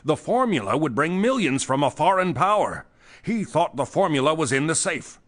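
An actor playing a frightened, defensive company boss in a pulp thriller audio drama, with a nervous, hesitant manner. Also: male voice, fairly high pitch, big pitch swings, moderate pace, fairly bright tone. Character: frightened, shaken male chemical company boss under police questioning.

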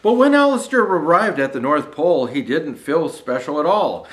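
Lively older man reading a children's storybook. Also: on the high side, normal speed. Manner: folksy, addressing young viewers directly. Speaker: storyteller in a Santa persona. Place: small indoor decorated studio set.

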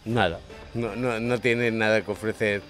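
A middle-aged kiosk vendor giving terse, deadpan answers to a street interviewer. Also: male voice, on the low side, a little slow, faint music behind. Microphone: handheld furry mic.

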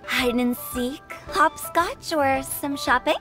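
A woman's voice: sits high, slow, music in the background. A woman voicing a playful, nosy teenage girl in a video game, teasing and curious. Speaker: game character.

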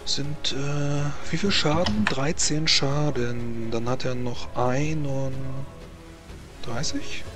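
A man acts as game master for a tabletop role-playing session, with an average-pitched voice. He talks casually and a bit haltingly, working things out aloud. Music plays clearly behind him.

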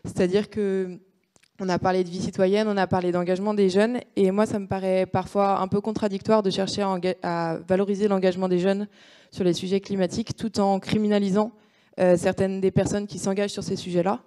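A woman's voice: mid-range voice, flat delivery, a little fast, dark tone. A young woman asking a hesitant question at a public debate, with many fillers, speaking into a handheld microphone in a large room. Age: young adult. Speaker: audience participant.

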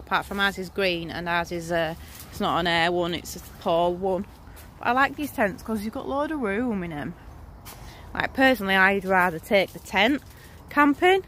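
A woman's voice: medium pitch, a little hiss or hum. A woman, chatting casually to a phone camera held at arm's length. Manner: chatty, informal, lively. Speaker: vlogger. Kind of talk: casual vlog chat. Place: home, then outdoor shop tent display.

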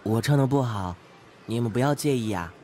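A man playing a gentle, bookish male student in an amateur audio drama, speaking politely and earnestly. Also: medium pitch, animated, fairly quick, close to an everyday voice. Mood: polite, shy. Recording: music behind the voice.

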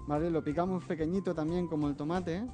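A man with a slight Catalan accent demonstrating a recipe as a guest chef in a television kitchen. He explains each step patiently and often checks in with a quick "eh?". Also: fairly high pitch, fairly even intonation. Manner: explains step by step, tags phrases with "eh?". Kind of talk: cooking demonstration. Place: TV studio kitchen. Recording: faint background music.

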